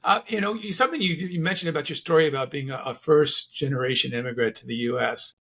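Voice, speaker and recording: Gravelly, husky man hosting an interview. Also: fairly high pitch, fast, fairly bright tone. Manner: curious, rambling questions with many restarts. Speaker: podcast host. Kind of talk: conversational interview.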